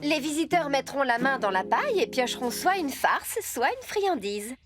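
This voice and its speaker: High, animated cartoon workmate. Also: female voice, normal speed. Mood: playful. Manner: expressive, enthusiastic. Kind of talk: French children's cartoon dub. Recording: music behind voice.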